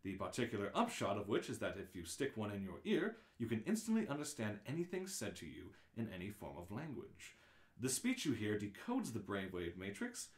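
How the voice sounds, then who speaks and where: A man plays a wry, erudite guidebook narrator in a comic radio play. The lowish voice moves animatedly up and down as it explains, with an echoey room around it. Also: wildly swooping pitch, a little fast. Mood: wry, explanatory. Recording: roomy, echoing live-stream audio.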